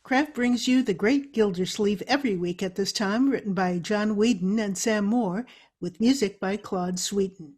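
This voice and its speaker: A woman's voice: mid-range voice, normal speed, a little mellow, slightly rough. A woman reading a scripted old-time radio introduction as the show's announcer.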